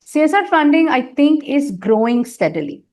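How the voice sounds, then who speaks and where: A woman's voice: high voice, very animated, normal speed, darkish tone. A middle-aged woman, a guest in a candid interview, talking at length with emphasis and frequent fillers. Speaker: interview guest, nonprofit governance advisor.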